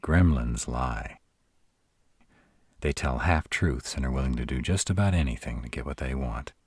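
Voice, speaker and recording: Male actor as gruff were-dragon, rock-bottom pitch, husky, protective, dryly sardonic, measured casual delivery, intimate roleplay audio. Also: a little mellow, no room sound. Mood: serious, wary. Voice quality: very deep, husky. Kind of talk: roleplay audio drama, intimate one-sided conversation.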